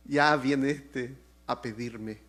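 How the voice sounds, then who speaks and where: A man from Chile with a Chilean accent, preaching a sermon with lively swings in pitch and loudness and joking asides to the congregation. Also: slow. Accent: Chilean Spanish. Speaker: pastor.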